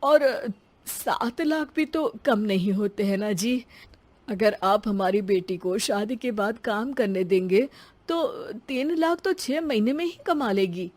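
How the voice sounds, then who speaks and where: A woman's voice: medium pitch, animated, takes it slowly, a little mellow, dry recording. A woman voicing a humble, anxious middle-aged father of a bride in a moral story, pleading and deferential. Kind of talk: acted Hindi moral story.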